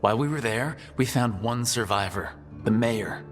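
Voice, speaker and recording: An actor playing a young, self-doubting hero in a video game, earnest and plain-spoken. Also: male voice, medium pitch, moderate pace, in a large hall, soft background music, lightly acted. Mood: troubled, doubtful.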